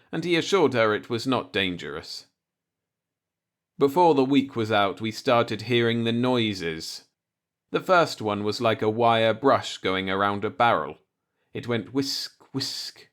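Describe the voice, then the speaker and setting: A man reading a short story aloud as an audiobook narrator, in a measured storytelling manner. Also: mid-range voice, fairly bright tone. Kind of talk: scripted narration, read-along short story.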